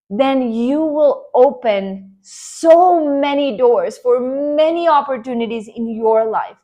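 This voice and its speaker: Motivational Colombian woman, rather high voice. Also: darkish tone. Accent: Colombian Spanish. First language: Spanish. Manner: earnest, encouraging, emphatic with repeated promises. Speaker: online career coach. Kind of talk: motivational talk to camera.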